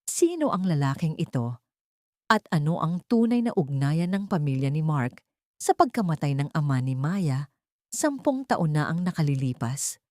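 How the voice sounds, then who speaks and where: Woman narrator reading a dramatic story, slightly low voice, very dry recording. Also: big pitch swings, moderate pace, warm tone, dry recording.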